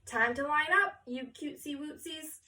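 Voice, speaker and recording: A woman reading a storybook aloud, voicing a bustling, bossy schoolteacher with brisk, sing-song commands. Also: high-pitched, bright, forceful tone, distant mic, voice pushed up. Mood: excited.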